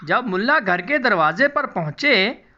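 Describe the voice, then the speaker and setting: A woman's voice: mid-range voice, lively intonation, unhurried, sharp tone, dull audio. A woman storyteller reading a scripted moral tale in storybook narration for a cartoon.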